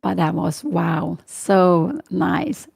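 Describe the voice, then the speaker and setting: A woman's voice: fairly low pitch, lively intonation, drawn-out words, gravelly, a little mellow, breathy edge. A woman in her sixties with a Swedish accent, giving live chess commentary and answering questions, thinking aloud with many fillers and self-corrections. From Sweden. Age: 60s.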